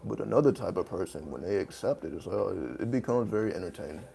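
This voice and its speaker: A man with a deep voice talking in a laid-back, casual monologue, loose with slang and swearing. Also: lively intonation, gravelly, mellow, soft background music. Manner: laid-back, profane, streetwise slang, drawn-out phrasing. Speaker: channel host.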